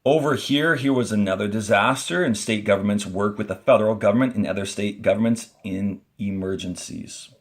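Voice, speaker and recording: Man teacher reading a lesson aloud. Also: fairly low pitch. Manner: deliberate, instructional, signposts each page and paragraph. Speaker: fourth-grade teacher reading a textbook aloud. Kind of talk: read-aloud lesson with brief explanatory asides.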